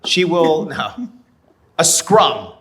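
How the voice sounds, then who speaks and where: A man's voice: fairly high pitch, very animated, takes it slowly, a bit bright. A man co-hosting a casual podcast, joking loosely and swearing now and then. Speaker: podcast co-host. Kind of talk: casual chat.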